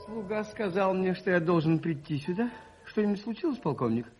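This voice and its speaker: An actor playing a mild, shrewd priest and amateur detective in a radio play, gently reasoning. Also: male voice, sits high, animated, brisk, highs cut off, faint music behind. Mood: puzzled. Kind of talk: 1980s Soviet radio play acting.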